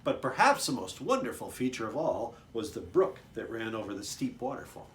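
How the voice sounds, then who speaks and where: A man's voice: mid-range voice, big pitch swings, fairly quick, a bit bright, slight background noise. A man, a children's author, reading a picture book aloud expressively, with chatty asides to young listeners.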